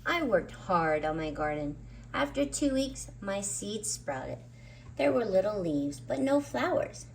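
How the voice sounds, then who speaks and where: A woman's voice: medium pitch, big pitch swings, measured pace, slight background noise, poor audio. A woman hosting story time, narrating a children's book expressively with dramatic emphasis on words. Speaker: children's story reader and host.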